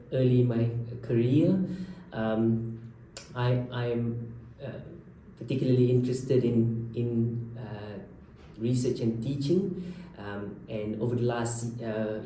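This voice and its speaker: Hesitant doctor in an echoey room. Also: male voice, on the low side, dark tone. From Malaysia. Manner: hesitant, many fillers and restarts. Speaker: haematology research fellow. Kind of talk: unscripted introduction to camera. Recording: echoey room, from a distance, faint background noise.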